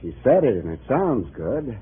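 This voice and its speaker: Raspy slow commie comrade, 50s radio drama, muffled tinny recording. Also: male voice, medium pitch, big pitch swings. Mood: skeptical. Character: husky, slow-talking male Communist Party comrade. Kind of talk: 1950s radio acting.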